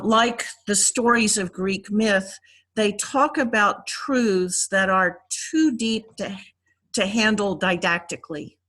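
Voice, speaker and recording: A woman teaching a class informally, explaining deliberately and pausing to search for words. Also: medium pitch, fairly bright tone. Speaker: priest teaching a Bible class.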